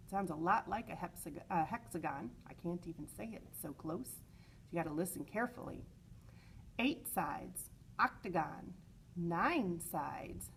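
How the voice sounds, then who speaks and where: A woman's voice: lowish voice, creaky, a little hiss or hum. A woman reading a children's picture book aloud as a teacher, expressively, stressing words and adding chatty asides. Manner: expressive, with emphatic repeated words.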